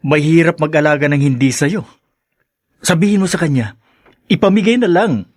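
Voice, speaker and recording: A breezy, nosy best friend played by an actor in a Filipino radio drama. His voice is on the high side and closely recorded without echo. He speaks bluntly, in street slang. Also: moderate pace, studio quality, natural voice. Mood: skeptical, blunt. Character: breezy, nosy male buddy giving blunt advice.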